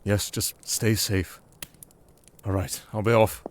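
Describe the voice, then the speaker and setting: A man's voice: lowish voice, level tone, slow, a little hiss or hum. A man playing a protective, exasperated retainer to a noble lady in a fantasy audio drama, formal and dutiful in speech. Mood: reluctant, exasperated.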